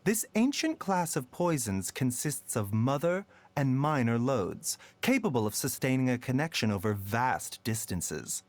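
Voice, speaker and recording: A man voicing a calm, learned physician in a video game, explaining things in a measured, gentle way. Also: medium pitch, no echo.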